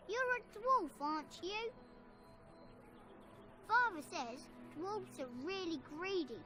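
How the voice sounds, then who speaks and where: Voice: very high-pitched, exaggerated voice. A performer playing a trusting, innocent little farm child in a fantasy game, talking simply and hesitantly. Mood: awed, grateful.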